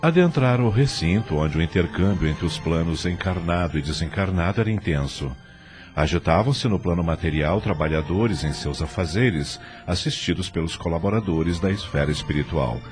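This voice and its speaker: A Brazilian man narrating a scripted audio drama in a measured, formal manner. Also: sits low, expressive, music in the background.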